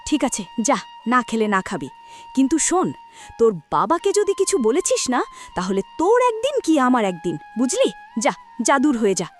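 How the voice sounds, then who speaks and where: A woman voicing a cruel, scolding village stepmother in a cartoon story, with a spiteful, sharp-tongued manner. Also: high-pitched, huge pitch swings, brisk, faint music behind.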